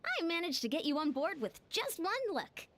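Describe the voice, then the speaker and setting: A woman's voice: sits high, sing-song, moderate pace, a bit bright, a little hiss or hum. A woman playing a confident, friendly, teasing fitness coach in a video game, upbeat and self-assured. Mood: pleased. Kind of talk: video game character acting.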